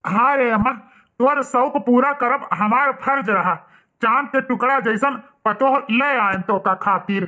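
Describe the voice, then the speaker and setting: A man's voice: very high voice, measured pace, pushed and bright, a little hiss or hum, heavily acted. A man acts out a young husband in a cartoon family drama. He has an Awadhi accent and a blunt, self-justifying, unfeeling manner. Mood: pleased. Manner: defiant, blunt.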